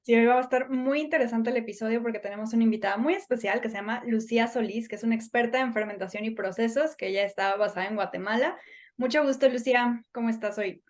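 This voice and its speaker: A woman hosting a podcast, introducing the show in a brisk, welcoming manner. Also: on the high side. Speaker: podcast host.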